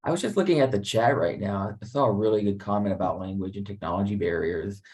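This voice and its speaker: Man on panel, low and mellow, casual storyteller. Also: fast, recorded from a distance. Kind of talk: panel discussion.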